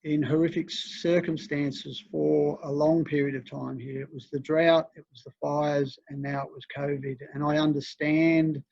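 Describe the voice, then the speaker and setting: Brief: man as mayor, monotone and thoughtful, with a dry, lo-fi council sound. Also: a little high, moderate pace, creaky, few pauses, no room sound. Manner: hesitant, many fillers, reflective. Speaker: mayor chairing the meeting. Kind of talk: chairing a council meeting. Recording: dry, dull lo-fi meeting audio.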